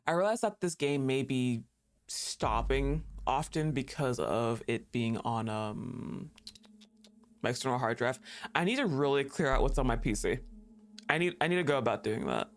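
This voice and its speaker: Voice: mid-range voice, expressive, normal speed. A streamer chatting casually and thinking aloud while playing a game, laughing often and talking back at the screen. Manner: thinks aloud with fillers and restarts.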